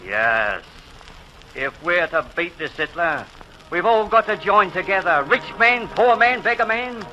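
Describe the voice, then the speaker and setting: An actor playing a humble, plucky village grocer with an English working-class accent, folksy and earnest in 1940s radio style. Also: male voice, sits high, expressive, unhurried, bright, forceful tone, dull audio, soft background music, heavily acted. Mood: urgent, rousing.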